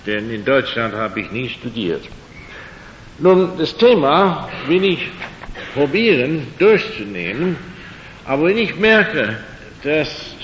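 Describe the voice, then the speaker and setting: An older man speaking German as his second language gives an evening talk, unhurried and halting, with dry little jokes. The recording is dull and lo-fi, the voice bounces around a big echoey hall, and a faint low rumble sits underneath. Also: a bit bright. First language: not German. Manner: slow, halting, with dry humor and many fillers. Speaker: lecturer. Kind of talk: evening lecture on creation and faith.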